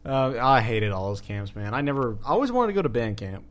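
Male podcast co-host for casual banter; profane, self-mocking, average pitch. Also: very animated, a little fast. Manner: crude, profane, self-deprecating.

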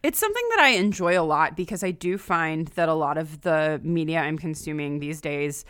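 A woman's voice: deep voice, lively intonation, moderate pace, a bit bright, clean tone, no room sound, studio quality. A woman in a casual, thoughtful discussion, often saying "like" as she works through her points. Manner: frequent filler "like", thoughtful.

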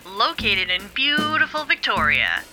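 A woman's voice: on the high side, big pitch swings, unhurried, over music, rough recording. A woman playing a gushing, theatrical radio announcer reading scripted show introductions. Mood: inviting. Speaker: radio host.

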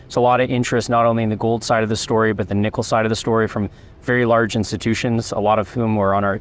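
A man, a company executive, steadily explaining his business to investors in an interview. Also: lowish voice. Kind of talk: interview answers, investor pitch.

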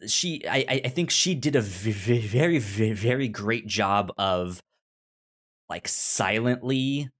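A man co-hosting a podcast, casually discussing a film in a halting way, often repeating and restarting his words. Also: on the low side, lively intonation, a bit bright. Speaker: podcast co-host reviewing a film.

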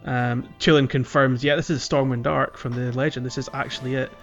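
A man's voice: medium pitch, fairly even intonation, brisk, a bit bright, dull audio, faint music behind. A man chatting casually and enthusiastically about a game as a podcast co-host. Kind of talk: casual game discussion chat.

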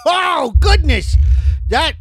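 A man's voice: very high voice, very animated, drawn-out words, a bit bright, faint music behind. A man, a father, joining his kids in an improvised make-believe toy adventure, playful and chatty. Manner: playful, joins in the kids' story. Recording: noisy home recording.

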